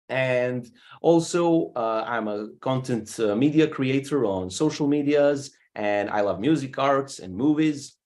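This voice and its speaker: Serbian man hosting an online show. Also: medium pitch. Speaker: guest host. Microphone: video call.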